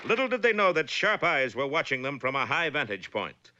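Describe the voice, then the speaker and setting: Bright, mock-dramatic cartoon narrator. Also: male voice, a little high, very animated, a little fast, muffled recording, exaggerated voice. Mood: urgent, dramatic. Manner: urgent, mock-dramatic, florid. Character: breathless mock-serious cartoon serial narrator. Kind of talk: melodramatic classic cartoon narration. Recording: old cartoon soundtrack with faint hiss.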